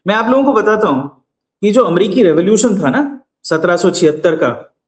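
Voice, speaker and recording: A man's voice: high voice, animated. Lecturing man, echoey room. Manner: measured, lecturing, with hesitations. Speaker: guest commentator. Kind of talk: explanatory political commentary.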